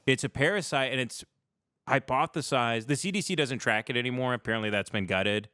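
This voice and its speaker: A man's voice: medium pitch, a little fast, bright, forceful tone, clear, resonant tone, no room sound, studio quality. A man hosting a livestream in a casual comedic chat, rambling through irreverent, profane stories. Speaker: livestream show host.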